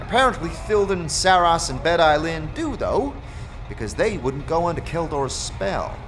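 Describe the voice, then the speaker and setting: A man voicing a brisk, weary woman who supervises a foundry floor in a fantasy audio drama. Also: on the high side, sing-song, a bit bright, faint music behind, voice pushed up. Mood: weary, businesslike.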